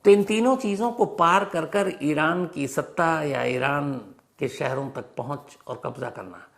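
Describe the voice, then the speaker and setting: A man hosting a storytelling explainer, speaking in a dramatic, emphatic way and repeating phrases for effect, with a gravelly voice. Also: a little high, a little slow, a bit bright. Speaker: crime and history show host.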